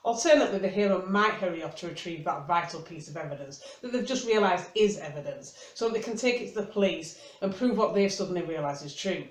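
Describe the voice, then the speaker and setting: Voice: medium pitch, lively intonation, quick pace, hardly any pauses. A book reviewer giving wry, scripted commentary in a dry, tongue-in-cheek manner. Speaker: book reviewer and video host. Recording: roomy, echoing sound.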